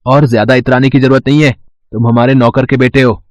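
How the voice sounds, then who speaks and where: A spoiled, sneering rich schoolboy from a moral tale, acted out by a man. The voice is medium-pitched and dry, with a haughty manner. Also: flat delivery, brisk, dry recording, natural voice. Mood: scornful. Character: boastful, snobbish rich schoolboy.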